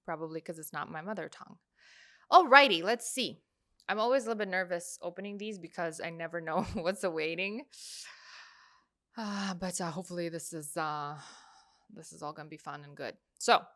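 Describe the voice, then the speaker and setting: A woman's voice: lowish voice, moderate pace, fairly bright tone. A woman who speaks Russian as her first language, hosting a podcast and musing casually in reaction commentary with many fillers. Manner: chatty, musing, trailing off with fillers. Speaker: podcast host.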